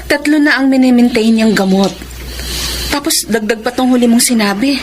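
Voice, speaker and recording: A woman's voice: fairly high pitch, normal speed, background noise, natural voice. A Filipino actress playing a fretful, insistent middle-aged mother in a Tagalog radio drama. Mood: worried. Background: music.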